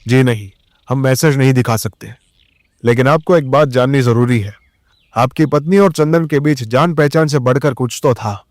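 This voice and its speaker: An actor playing a stern, probing police inspector in an audio drama, firm, formal and authoritative. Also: male voice, medium pitch, moderate pace, darkish tone, no room sound, clean studio sound, close to an everyday voice.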